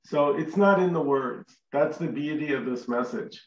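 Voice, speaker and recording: A man's voice: on the high side, moderate pace, echoey room, distant mic, lo-fi sound. A man hosting a casual group chat, talking emphatically and repeating short denials over a video call. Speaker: host.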